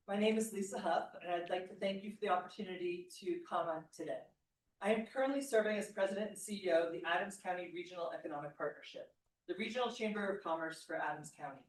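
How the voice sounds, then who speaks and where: A woman's voice: fairly low pitch, far from the mic. A woman reading a formal public comment at a meeting. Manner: formal, reading a prepared statement. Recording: echoey room, away from the mic.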